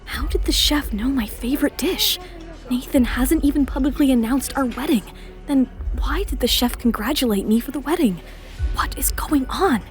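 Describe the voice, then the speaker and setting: A woman voicing a wronged, scheming young bride in an audio drama, expressive and pointed under a polite surface. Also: sits high, moderate pace. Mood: inward, incredulous. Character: wronged young bride, sweet outside, bitterly scheming inside. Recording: music under the voice.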